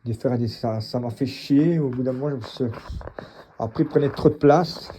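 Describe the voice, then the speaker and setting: A middle-aged man from the Mons region with a Belgian French accent and a husky voice, giving a casual unscripted tour in a halting, blunt, colloquial way. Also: mid-range voice, dark tone, a little hiss or hum. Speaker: home gym owner and vlogger.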